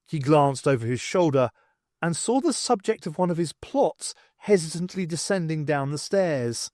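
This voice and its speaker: A man's voice: mid-range voice, a little mellow, dry recording, studio quality. A man reading a scripted storybook narration as an audiobook narrator.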